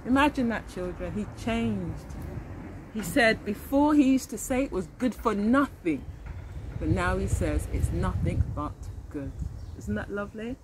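A woman's voice: medium pitch, animated, a little slow, a little mellow, a little hiss or hum, low-quality recording. A middle-aged woman reading aloud expressively as a teacher of young children, speaking to them directly. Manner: addresses children directly, asks them questions. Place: outdoors in a leafy garden.

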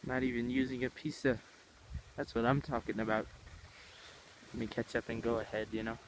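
A man's voice: medium pitch, level tone, fairly quick. A man talking casually and encouragingly as he skis outdoors, recorded on a handheld phone. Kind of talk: casual chat and running remarks while skiing. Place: outdoors on a snowy ski slope. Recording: noisy outdoor phone recording with handling clicks.

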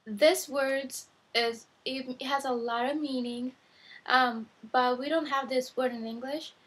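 Young woman, native Japanese speaker, vlog teacher; unhurried, careful delivery that repeats every phrase, bedroom webcam sound. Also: sits high, fairly even intonation, a bit bright, breathy edge. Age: young adult. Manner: slow, careful, repeats each phrase twice. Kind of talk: casual video lesson. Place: bedroom, indoors. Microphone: webcam at desk.

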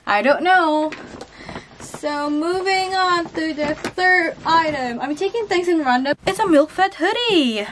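A young woman with a cold, chatting excitedly as she unboxes and shows clothes in a haul video. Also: very high voice, unhurried, a little hiss or hum, low-quality recording. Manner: chatty and excitable, chuckles at prices. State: has a cold. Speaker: channel host unboxing a lucky bag. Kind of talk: casual haul vlog voice-over. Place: indoors at a table.

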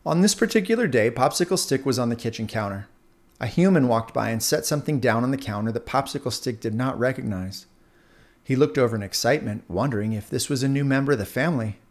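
A man narrating a scripted children's story aloud in an expressive storyteller's manner. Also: medium pitch, fast. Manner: expressive, animated.

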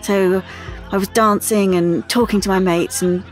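An actress playing a sensitive teenage art student, confiding haltingly in an audio drama monologue. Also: female voice, medium pitch, fairly even intonation, faint music behind, lightly acted. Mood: wistful.

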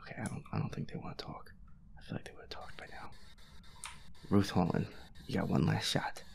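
A low-voiced gamer gives hushed commentary on a horror game, full of gravelly fry. The delivery is nervous and reluctant, often sinking into a breathy whisper as they mutter to themself. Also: brisk. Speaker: solo gamer.

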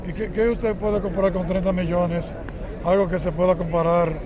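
A man's voice: sits high, flat delivery, moderate pace. A man with a Dominican accent interviewing people in a busy city plaza, asking short, amazed questions. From Dominican Republic. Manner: curious, amazed, asks many short questions. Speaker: street interviewer. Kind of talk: casual street interview. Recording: busy outdoor crowd noise. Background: crowd chatter and traffic.

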